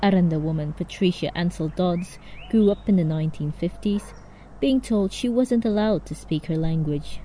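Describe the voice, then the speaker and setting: A woman whose first language is Indonesian narrates a news feature in a calm, measured way. Her voice is lowish and mellow. A faint hiss sits under it. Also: low-quality recording. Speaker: reporter. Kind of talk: scripted narration.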